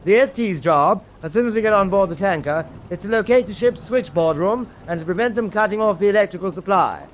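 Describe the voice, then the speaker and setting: An actor with a British accent playing a resolute naval officer briefing his men in a war radio drama, crisp and authoritative. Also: high-pitched, expressive, fairly quick, hardly any pauses. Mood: resolute, businesslike. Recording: faint background noise.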